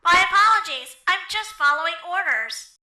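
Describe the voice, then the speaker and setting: A woman's voice: very high voice, lively intonation, normal speed, bright, forceful tone, full character voice, low-quality recording. A woman voicing a polite, obedient little robot servant in a game fandub, deferential in manner. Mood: apologetic. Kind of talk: video game fandub acting.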